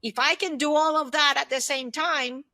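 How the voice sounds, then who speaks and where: A woman's voice: sits high, fairly even intonation, moderate pace, bright, forceful tone, no room sound, studio quality. A woman in her fifties speaking conversationally as an interview guest, giving advice emphatically and often checking for agreement. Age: 50s. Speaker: podcast guest, former aerospace executive.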